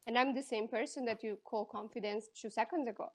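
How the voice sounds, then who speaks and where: An Italian woman, a counselor and life coach, being interviewed and talking in a lively, expressive way with quick bursts and restarts. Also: on the high side. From Italy. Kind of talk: casual interview.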